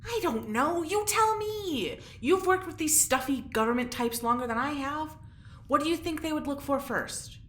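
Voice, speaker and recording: An actress playing an anxious, sharp-tongued young woman in a sci-fi audio drama, speaking bluntly and informally. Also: high voice, expressive, moderate pace. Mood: scheming, frustrated. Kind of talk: audio drama acting, naturalistic dialogue. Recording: roomy echo, faint background noise.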